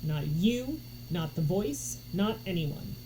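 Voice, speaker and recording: A woman's voice: lowish voice, animated, slow pace, warm tone, poor audio. Woman voicing a fierce, defiant storybook sword girl. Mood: angry defiance. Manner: bold, taunting. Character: fierce reckless sword-fighting girl game character, defiant and gleeful. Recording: noticeable background noise.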